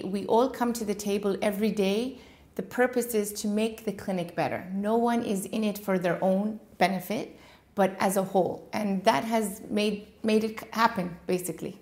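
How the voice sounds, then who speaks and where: Woman dentist, born in Iran, grew up in the US, calm and earnest, interview answers. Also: medium pitch. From Iran, raised in Washington state. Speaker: dentist, clinic owner.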